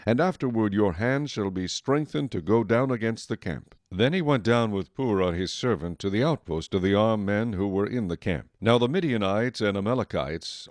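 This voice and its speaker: A man narrating scripture from a script in a measured, formal manner. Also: fairly low pitch, a little mellow, dry recording, clean studio sound.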